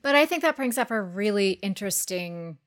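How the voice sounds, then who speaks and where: Woman, mid-pitched voice, podcast guest, articulate, explanatory, conversational. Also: lively intonation, a little fast. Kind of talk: conversational interview talk.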